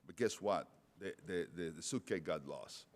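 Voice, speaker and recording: Deep-voiced man with a non-native accent. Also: expressive, moderate pace. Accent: non-native English. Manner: hesitant, many fillers and restarts. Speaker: conference speaker. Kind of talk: conference presentation. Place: large conference hall. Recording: long hall reverb.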